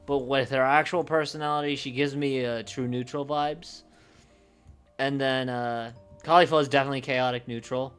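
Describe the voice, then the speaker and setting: A man livestreaming casually, thinking aloud in a halting way and often trailing off mid-thought. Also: medium pitch, fairly even intonation, a bit bright, smooth, faint music behind. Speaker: streamer.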